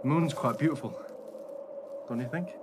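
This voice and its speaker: An actor playing a calculating, glib hotel manager who is secretly a werewolf, in a radio play. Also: male voice, mid-range voice, moderate pace, lightly acted. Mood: sly, evasive. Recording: noisy recording with loud background ambience.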